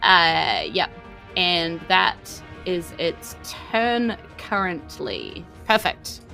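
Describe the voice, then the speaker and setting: A woman's voice: fairly low pitch, very animated, very slow, vocal fry, pushed and bright, soft background music, low-quality recording. A woman with a New Zealand accent, casually narrating a tabletop game as game master in a playful, teasing way.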